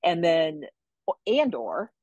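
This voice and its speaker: Low-voiced woman with very dry sound. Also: very animated, slow pace, a little mellow, no room sound, dull audio. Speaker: online audience participant. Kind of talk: spontaneous, reflective comment. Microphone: remote call over the internet.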